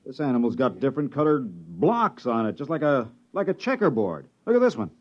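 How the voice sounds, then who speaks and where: Excitable astonished space biologist, swooping pitch. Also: male voice. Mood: curious, amazed. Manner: exclamatory, halting with surprise. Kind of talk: 1950s radio drama acting. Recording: dull vintage radio recording.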